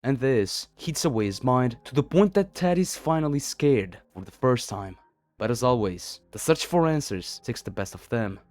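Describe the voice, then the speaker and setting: A man's voice: medium pitch, expressive, normal speed, a little mellow. A man narrating a scripted horror story as a video narrator, in a dramatic storytelling manner.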